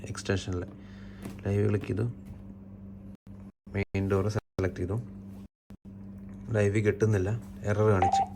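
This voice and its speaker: A man's voice: fairly low pitch, level tone, moderate pace, long pauses, noisy background. A man with a Malayali accent giving an unscripted, matter-of-fact technical walkthrough, one step at a time. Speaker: tutorial presenter, installer.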